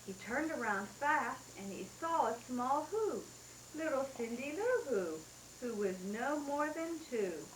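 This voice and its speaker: A woman's voice: high voice, expressive, slow, reverberant, slight background noise, poor audio. A mother reading a rhyming bedtime story aloud in a sing-song way, taped at home.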